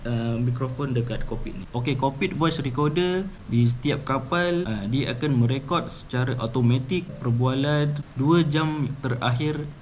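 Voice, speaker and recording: A man's voice: mid-range voice, moderate pace, few pauses. Malaysian man explaining casually, noisy recording. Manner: informal, conversational, sprinkles tag questions. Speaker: YouTube channel host. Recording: clearly noisy background ambience.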